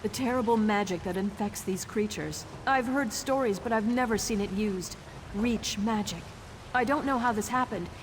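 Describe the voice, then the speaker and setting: A woman's voice: mid-range voice, background noise, natural voice. A woman voicing a troubled, devout forest witch with a grave manner in a fantasy video game.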